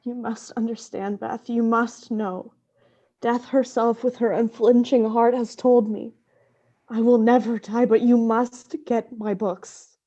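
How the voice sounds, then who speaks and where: A sickly, obsessed woman scholar of forbidden magic in a gothic radio play. Her voice is fairly high but soft-edged and dark, with intense, portentous delivery. The sound is a little dull. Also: level tone, slow pace. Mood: obsessed, ominous. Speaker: actress in an audio drama.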